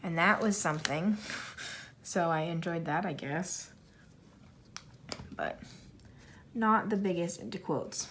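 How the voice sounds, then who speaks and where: A woman in her thirties talks casually to camera in a slightly low voice. She rambles, fills pauses with "like" and "I don't know," and makes fun of herself as she goes. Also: fairly bright tone, a little hiss or hum. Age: 30s. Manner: rambling, self-deprecating, chatty with many fillers. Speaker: YouTuber answering a tag questionnaire. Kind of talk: casual chat while coloring.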